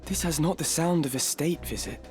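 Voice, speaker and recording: An actor playing an earnest young noble cadet knight in a fantasy game, with formal, archaic diction. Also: male voice, fairly high pitch, a little fast, soft background music, natural voice. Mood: curious.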